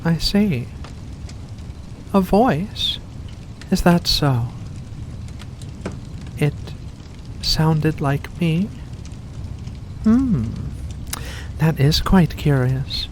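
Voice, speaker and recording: A woman's voice: deep voice, lively intonation, extremely slow, pauses often, a little mellow, a little hiss or hum, full character voice. A woman voicing an ancient, seductive furry vampire in an ASMR roleplay, coaxing and genteel with veiled menace. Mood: coaxing, curious. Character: ancient predatory vampire with furry paws, seductive, faux-kindly. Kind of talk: ASMR roleplay addressed to the listener.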